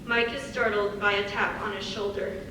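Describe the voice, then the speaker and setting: A woman's voice: medium pitch, fairly even intonation, normal speed. A woman reading stage directions as scripted narration. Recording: echoey hall, far from mic, faint noise.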